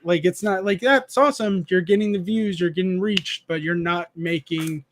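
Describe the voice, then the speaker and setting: A man's voice: sits high, normal speed, noisy background. A man in his thirties hosting a casual live stream, rambling with many restarts and reading chat messages aloud. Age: 30s. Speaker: streamer host.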